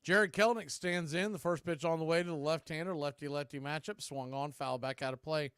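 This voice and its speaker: A man calling a baseball game live in radio play-by-play style, in short clipped phrases between pitches. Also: a little fast, a bit bright, clear, resonant tone, no room sound, studio quality. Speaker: play-by-play baseball announcer and stream host.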